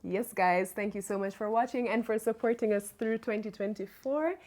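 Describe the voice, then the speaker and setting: A woman's voice: mid-range voice, normal speed, darkish tone. A Jamaican woman co-hosting a casual chat, talking freely and laughing easily. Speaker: co-host.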